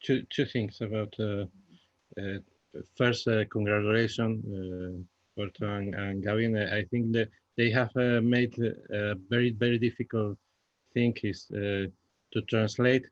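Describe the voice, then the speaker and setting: A man with a Spanish accent, a native Spanish speaker, talks unscripted in a discussion, haltingly searching for words in his second language. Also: on the low side, steady tone, dry recording. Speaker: playwright. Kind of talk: unscripted post-show discussion. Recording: dull, muffled lo-fi audio.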